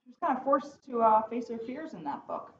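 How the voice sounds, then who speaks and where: A woman's voice: a little high, very animated, a little fast. A woman, a police officer, chatting casually alongside a children's story reading, in a roomy, dull recording. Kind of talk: casual chat. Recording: roomy, dull lo-fi audio, faint noise.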